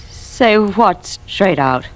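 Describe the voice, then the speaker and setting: A woman's voice: mid-range voice, lively intonation, extremely slow. An actress playing a bitter, scheming wife who confides her crime in a vintage radio drama. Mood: feigned anxiety. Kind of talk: 1950s radio drama acting, intimate confessional narration. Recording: vintage radio recording with music underneath.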